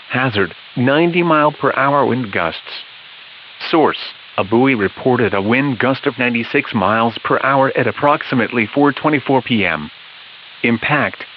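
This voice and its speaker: A man reads a formal, scripted emergency weather bulletin in an impersonal, measured way. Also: mid-range voice. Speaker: weather alert announcer. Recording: dull hissy weather-radio broadcast audio.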